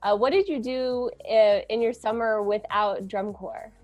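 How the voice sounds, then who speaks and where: A woman's voice: a little high, measured pace, a little mellow, soft background music. A woman on the admin team interviewing drum majors over a video call, friendly and upbeat.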